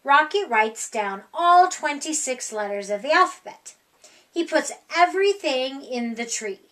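Storytime reader: middle-aged woman, high voice, unhurried and expressive picture-book narration. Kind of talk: read-aloud children's picture book narration. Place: small room indoors.

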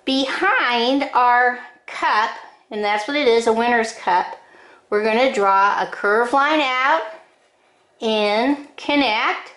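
An older woman giving step-by-step drawing instructions in short, deliberate phrases with frequent pauses, recorded in an echoing room. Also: on the high side, fairly bright tone. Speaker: drawing teacher. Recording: roomy, echoing indoor sound.

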